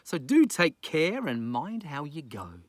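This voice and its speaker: A man's voice: a little high, huge pitch swings, slow, dry recording, studio quality, exaggerated voice. A man playing a lazy, scheming pirate captain in a children's story, declaiming in a theatrical, comic way. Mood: placating.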